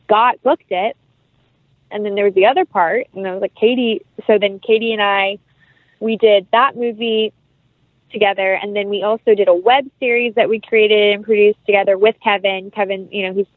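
A woman's voice: medium pitch, no echo. Woman chatting over a phone-like line. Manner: chatty, informal, uses "like" and "you know" often. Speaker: actress, interview guest. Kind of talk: casual interview chat. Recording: phone-like narrow-band line, dry.

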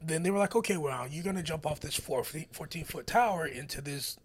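A man in his forties, a military veteran guest, telling stories in casual chat and acting out quotes with loud bursts. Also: on the high side, fairly quick, husky. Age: 40s. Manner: animated storyteller who acts out quotes with sudden loud bursts.

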